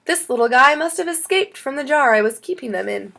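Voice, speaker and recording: Calm primary school teacher explaining things to pupils, acted by a female narrator, high, expressive pitch. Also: a little fast, gravelly, full character voice. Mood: reassuring. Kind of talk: children's audiobook character.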